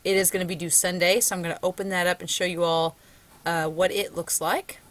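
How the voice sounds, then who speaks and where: A woman with a Southern US drawl delivers a recorded college English lecture from her computer. Her voice sits a little low, and she speaks conversationally and encouragingly, with plenty of ums along the way. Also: little pitch movement, brisk. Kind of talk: recorded lecture with screen share.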